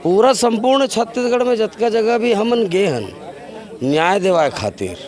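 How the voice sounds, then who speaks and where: A man's voice: high voice, expressive, normal speed, a little mellow. A man with a Chhattisgarhi accent answering an interview as an activist spokesman, emphatic and oratorical, building points by repetition.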